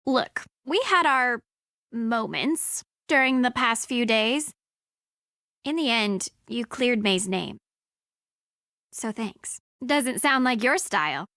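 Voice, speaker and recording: A prickly female rival in a video game, high and sharp-edged. She is haughty and sardonic, her pitch swinging as she grudgingly speaks. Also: lively intonation, slow, no room sound, studio quality, exaggerated voice.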